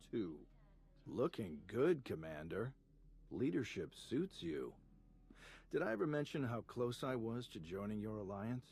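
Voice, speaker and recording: An actor playing a flirtatious, easygoing male spy in a video game, with a husky voice and a playful, casual manner. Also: medium pitch, darkish tone, heavily acted. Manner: smooth-talking, playful, casual.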